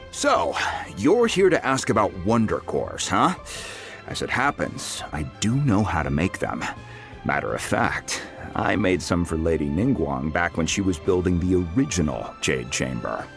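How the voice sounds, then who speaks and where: An actor voicing a friendly, humble blacksmith in a video game, chatty and self-deprecating. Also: male voice, low-pitched, very animated, measured pace, vocal fry, faint music behind, exaggerated voice.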